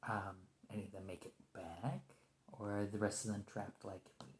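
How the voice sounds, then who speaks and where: A man playing a nervous, conciliatory special agent held captive, speaking hesitantly and softly in an ASMR roleplay with an echoing lo-fi sound. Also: fairly low pitch, fairly even intonation, slight background noise, natural voice. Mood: apologetic, explaining. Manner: hesitant, halting, stammering, soft-spoken. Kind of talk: ASMR roleplay, one-sided point-of-view dialogue. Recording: roomy lo-fi echo.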